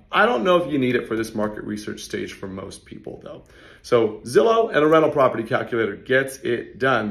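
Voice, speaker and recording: A man in his thirties talks straight to his phone camera in an office hallway, his voice hoarse and worn from yelling. The tone is gravelly with plenty of fry, and he moves quickly through casual, salesy explanations. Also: medium pitch. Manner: fast, conversational, salesy asides. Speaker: real estate investor and channel host. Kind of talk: casual talking-head explainer.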